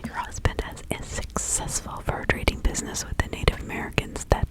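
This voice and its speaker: A woman playing a reclusive, gossipy village witch of the 1600s in a confiding, rambling ASMR roleplay, all in a breathy whisper. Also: fairly quick, close to an everyday voice. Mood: gossipy, cautioning. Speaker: ASMR performer.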